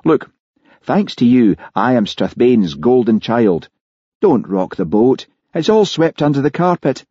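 Man playing a cocky, moody male cop, animated. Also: medium pitch, unhurried, breathy edge, no echo, muffled recording, pitched above the performer's own voice. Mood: exasperated, evasive. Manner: slangy, blunt, evasive. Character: cocky, moody male police colleague, off the booze. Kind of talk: audiobook character acting.

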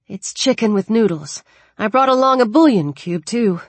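A woman voicing a caring, persistent teenage girl who speaks in a gentle, earnest, coaxing way in an audiobook story. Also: medium pitch, animated, moderate pace, slightly rough, no echo, voice pushed up.

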